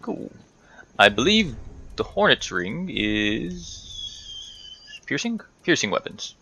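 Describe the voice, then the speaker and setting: A man's voice: mid-range voice, very animated, takes it slowly, pushed and bright, breathy edge. A man giving casual, rambling commentary over a video game playthrough, chatting to himself and to the game's characters. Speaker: gaming video host.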